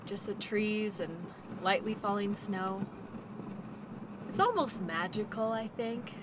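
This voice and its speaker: Woman acting as a friendly reassuring dogsled musher lady, slow easy talk, roleplay audio w/ noisy sled ambience behind her. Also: mid-range voice, animated. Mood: calm, friendly. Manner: gentle, reassuring, chatty. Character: cheerful, reassuring woman dog-sled musher guiding a passenger. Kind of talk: audio roleplay, naturalistic second-person acting.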